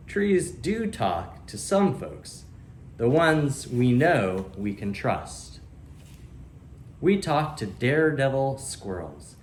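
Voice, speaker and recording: Guy reading as a chatty proud oak tree from a kids book, wry n friendly, very up and down, roomy echo. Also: mid-range voice. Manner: chatty, dryly humorous, emphatic with deliberate pauses. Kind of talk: children's storybook read-aloud. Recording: roomy echo, faint background noise.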